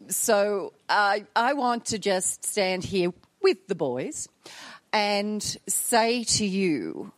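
A woman's voice: medium pitch, dry recording. An older Australian woman giving a conference address, wry and emphatic, with self-mocking jokes. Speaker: conference speaker. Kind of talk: public address.

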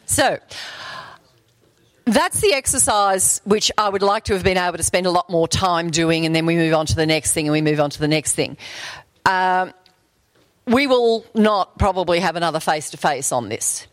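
A woman facilitating a workshop meeting in a meeting room, brisk and chatty, often checking in with the group. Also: fairly low pitch, no echo.

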